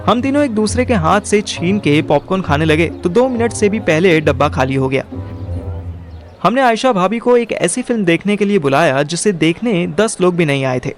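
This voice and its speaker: A young man narrating a first-person audio drama in colloquial Hindi mixed with English words. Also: high voice. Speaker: narrator and lead character.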